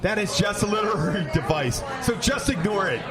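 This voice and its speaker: Man acting flippant, high-voiced radio detective Tim. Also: animated, brisk, music in the background, a crowd in the background. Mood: flippant, defensive. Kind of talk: scripted comic radio acting.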